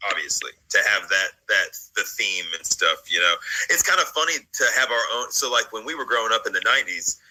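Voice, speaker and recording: Low, bright-voiced American man chatting casually. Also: expressive, fairly quick, low-quality recording. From United States, partly South Carolina and Virginia. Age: late 30s. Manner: rambling, jokey, slangy. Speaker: host of a vlog. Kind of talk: casual reaction chat.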